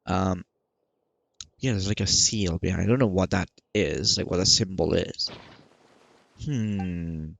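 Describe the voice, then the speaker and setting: A man doing casual let's play commentary in a rambling, halting way, often repeating himself, close to the microphone. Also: sits low, creaky, fairly bright tone, no echo. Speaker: gaming video creator.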